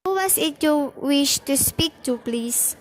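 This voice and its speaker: A woman's voice: high-pitched, lively intonation, slow. A woman playing a polite, unruffled hospital receptionist in a radio play, formal and detached.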